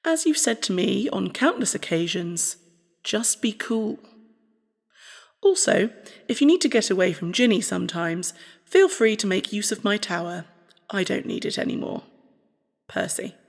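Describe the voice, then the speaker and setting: A woman playing a formal, fond scientist, reading out a farewell letter in a measured way. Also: mid-range voice, animated, gravelly. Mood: fond, earnest. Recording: long hall-like reverb.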